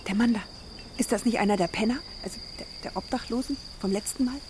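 A woman plays a middle-aged wife in a radio play, wary and easily exasperated. Her fairly high voice presses with sceptical, reproachful questions, over faint outdoor noise. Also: quick pace, darkish tone, close to an everyday voice. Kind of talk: naturalistic radio drama dialogue.

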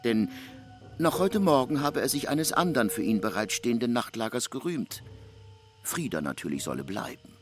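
A man's voice: medium pitch, big pitch swings, normal speed, faint music behind. A man giving formal, emphatic scripted narration of a literary classic, including reported speech.

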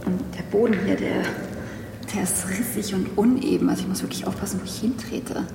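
A hushed, creaky-voiced woman played by an actress picks her way through an abandoned underground station. She is sad and uneasy, speaking in halting phrases with long echoes, as in a mystery audio drama. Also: mid-range voice, fairly even intonation, noisy background, lightly acted. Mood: hushed, sad, wary. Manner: hushed, halting, breathy whispering. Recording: echoey cavernous hall with long reverb.

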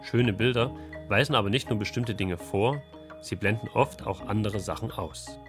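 A man's voice: on the low side, normal speed, music in the background. A man reading a scripted, explanatory radio feature.

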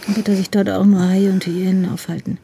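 Detective's wife in a radio drama, dark soft voice, really breathy, dry and in the know. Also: female voice, medium pitch, little pitch movement, fast. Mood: wry, confiding. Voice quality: husky, breathy, creaky. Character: well-informed wife of a police commissario, dryly confiding. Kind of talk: naturalistic radio drama dialogue.

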